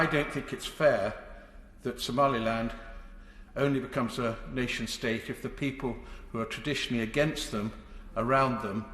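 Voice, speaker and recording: Man, MP intervening, earnest and halting, big reverberant chamber. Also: mid-range voice, a bit bright. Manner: earnest and pleading, halting with repeats. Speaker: member of parliament intervening. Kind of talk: parliamentary intervention. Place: parliamentary debating chamber. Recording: echoey chamber broadcast feed.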